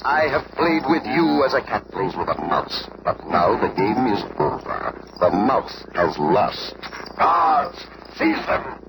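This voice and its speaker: Through a very noisy vintage radio recording comes a grandiose male supervillain. His voice swoops theatrically and crackles with gravel as he taunts and gloats like a tyrant who believes himself supreme. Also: lowish voice, sing-song, slow pace, full character voice. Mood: triumphant, commanding. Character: gloating, megalomaniac male villain and scientist tyrant. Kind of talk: 1970s radio drama acting.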